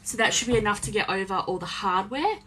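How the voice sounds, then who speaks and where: A young woman with a slightly croaky voice, chatting in a rambling way, full of ums, while she sews on a live stream from her home craft space. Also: mid-range voice, lively intonation, fast talker, fairly bright tone, poor audio. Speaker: small business owner live-streaming crafts. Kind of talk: casual chat while sewing. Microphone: phone on a live stream.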